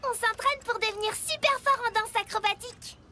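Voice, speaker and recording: A woman voicing an eager, playful child mini-truck, excitable and cheerful, in a children's cartoon. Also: extremely high pitch, brisk, a little hiss or hum, heavily acted. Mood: excited. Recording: loud music behind the voice.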